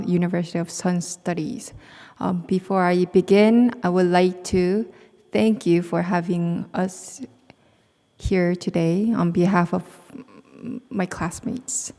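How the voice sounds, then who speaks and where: A young woman in her twenties speaks English with a Korean accent, low-pitched for a woman. She talks in a careful, sincere way with little stumbles and restarts. The sound carries the long reverb of a large hall. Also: mellow. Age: 20s. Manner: earnest, reading carefully with small restarts. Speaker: student speaker. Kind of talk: prepared personal talk.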